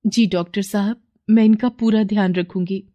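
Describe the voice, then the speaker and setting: A woman's voice: medium pitch, steady tone, moderate pace, mellow, dull audio, lightly acted. A woman voicing a meek, kind young village daughter-in-law, respectful and plaintive, in a story. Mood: dutiful.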